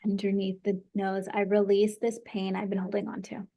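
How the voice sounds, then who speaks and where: A woman's voice: mid-range voice, little pitch movement, normal speed, dark tone. A woman practitioner guiding a client through a tapping session, encouraging her and leading phrases for her to repeat.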